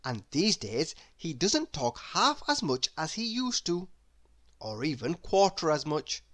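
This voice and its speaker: A woman reads a children's story aloud as narrator. Her voice sits low, and her pitch moves dramatically for expressive storytelling. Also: measured pace.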